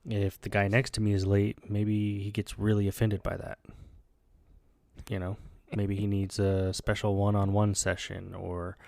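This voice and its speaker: A man's voice: fairly low pitch, completely flat delivery, measured pace, gravelly, darkish tone, no room sound, studio quality. A man whose first language is Spanish, co-hosting a podcast in a wry, rambling casual chat. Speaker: podcast co-host.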